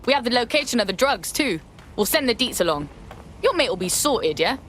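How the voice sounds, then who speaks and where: A woman's voice: a little high, big pitch swings, brisk, a bit bright. Brief: slangy young female operative, light noise behind. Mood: reassuring. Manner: casual, slangy, upbeat. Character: streetwise young female resistance recruiter.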